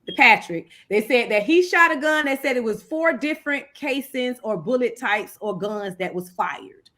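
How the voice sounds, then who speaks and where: A woman's voice: fairly high pitch, lively intonation, moderate pace, bright, forceful tone. A woman panelist talking casually and explaining things in street slang with some swearing. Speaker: talk show panelist.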